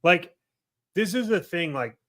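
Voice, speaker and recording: A man's voice: high-pitched, expressive, a little slow, fairly bright tone. An American man co-hosting a podcast, talking in an informal, slangy way with plenty of "like" and "right?". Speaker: podcast co-host. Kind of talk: casual chat.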